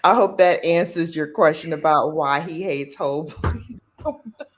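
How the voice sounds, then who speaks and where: A woman hosting a casual chat who laughs often and jumps in quickly. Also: low-pitched, lively intonation. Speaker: host.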